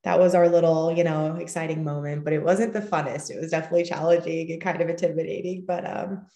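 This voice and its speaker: A woman, a resident physician, answering on a video call in an animated way, thinking aloud and laughing. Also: low voice, fast, dull audio. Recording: echoey room on a call.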